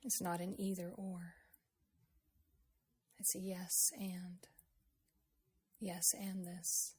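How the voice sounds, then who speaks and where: Female meditation guide, breathy and husky, even-toned delivery, gentle and unhurried with generous pauses. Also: on the low side. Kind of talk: slow guided meditation, with a poem recited.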